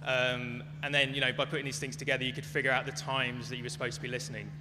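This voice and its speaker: A man's voice: mid-range voice, flat delivery, quick pace. A man giving an informal, hedged explanation as a speaker answering audience questions at a live talk. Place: large echoey venue at a live talk. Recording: echoey hall, clear background noise, hum.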